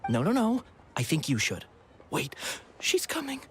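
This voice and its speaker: A man's voice: on the high side, huge pitch swings, measured pace. Male game bit part: awkward smitten diner, swooping intonation, faint music underneath. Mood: nervous.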